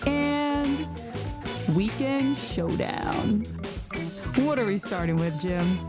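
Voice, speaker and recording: A woman's voice: fairly high pitch, animated, drawn-out words. A woman co-hosting a podcast, introducing a segment in an upbeat presenting style. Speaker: podcast co-host. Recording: loud guitar music bed. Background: loud guitar music.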